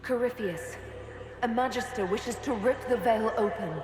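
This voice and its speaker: A woman's voice: a little high, moderate pace, noisy background, close to an everyday voice. A woman playing an earnest, determined heroine and leader in a fantasy game, with dramatic delivery. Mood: solemn, determined. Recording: long reverb, loud background music.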